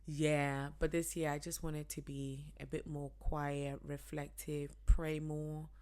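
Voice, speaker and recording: This is a woman with a notably low-pitched voice, co-hosting a casual podcast with her husband. She talks in a relaxed, chatty way and laughs easily. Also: slow, smooth. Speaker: podcast co-host. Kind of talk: casual chat.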